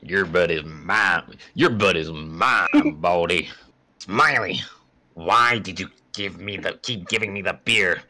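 A man voicing a big, angry tavern keeper in a comic dub, gruff and blustering as he barks threats. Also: low-pitched, takes it slowly, bright, forceful tone, exaggerated voice. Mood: angry, threatening.

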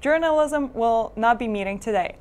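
A woman's voice: fairly high pitch, normal speed, darkish tone. A teenage girl from Poland, a student anchor reading school club news in a school TV studio. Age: teens.

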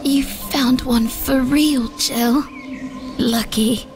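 A woman voicing a gentle, dying young elf girl in a comic dub, murmuring softly and dreamily. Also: sits high, fairly even intonation, extremely slow. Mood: dreamy, soothing. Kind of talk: dramatic comic dub acting. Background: music.

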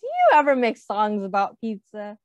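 A woman reading a picture book aloud to young children, expressively, pausing to explain and ask questions. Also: mid-range voice, huge pitch swings, darkish tone, no echo. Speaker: read-aloud host, teacher.

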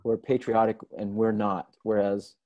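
A man hosting a webinar from home over a video call, asking questions in a friendly, relaxed way with many fillers. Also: fairly low pitch, normal speed, no echo, phone-quality sound. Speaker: webinar host. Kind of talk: hosted webinar interview. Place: home room with window shutters.

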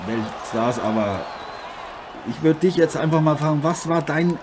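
A man's voice: fairly high pitch, measured pace, a little mellow, natural voice. A man playing an admiring, chummy ex-champion boxer commentating a fight live in a radio play, repeating his phrases and addressing listeners as friends. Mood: chummy, reflective. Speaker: sports commentator. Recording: noisy arena crowd behind the voice.

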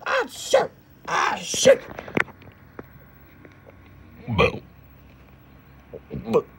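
A man doing an exaggerated, excitable amateur parody dub of a cartoon, prone to shouting and yelping. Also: extremely high pitch, drawn-out words, vocal fry, slight background noise. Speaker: amateur fan dubber.

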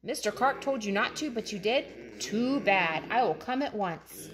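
A woman voicing a brisk, matter-of-fact policeman with an emphatic manner, in a children's story. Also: mid-range voice, animated, a crowd in the background, close to an everyday voice. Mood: disapproving.

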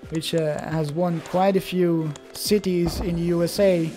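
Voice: mid-range voice, fairly even intonation, unhurried, over music. A young adult from Belgium, a native Dutch speaker with a Belgian Dutch accent, chatting casually in hesitant, restart-filled commentary while opening card packs.

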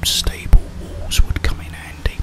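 A middle-aged man whispers ASMR gameplay commentary in a breathy voice, muttering and mildly grumbling to himself. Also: a little slow. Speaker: gamer, ASMR video host. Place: indoors, small room at home.

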